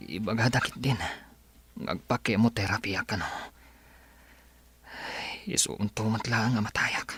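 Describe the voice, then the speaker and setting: A man's voice: measured pace, pauses often, a bit bright, slightly rough, full character voice. An actor with a Filipino Ilocano accent playing a sullen, despondent young rich man who is seriously ill, in a radio drama. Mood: weary, resigned. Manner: weary, sullen, low-spoken. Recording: radio drama with music underneath.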